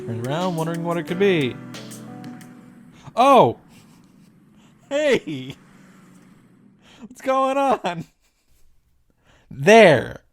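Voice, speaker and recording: A man's voice: sits high, sing-song, extremely slow, pauses often, soft background music. A man giving casual, sarcastic reaction commentary while reading and summarizing a visual novel. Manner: sarcastic asides, casual swearing.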